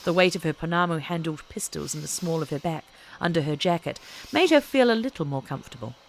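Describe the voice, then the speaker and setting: A woman narrates fiction with a highly animated, swooping delivery. Her voice sits a little low for a woman, and she tells the story deliberately and expressively. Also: a little hiss or hum, low-quality recording. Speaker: audiobook narrator. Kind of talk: scripted dramatic fiction narration.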